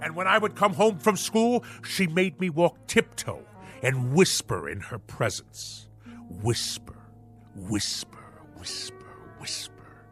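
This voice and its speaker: A middle-aged blowhard of a radio drama, obsessed with all things naval. His delivery is bright and forceful, with big swings in pitch. He speaks in pompous, orotund phrases, full of theatrical bluster. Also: full character voice.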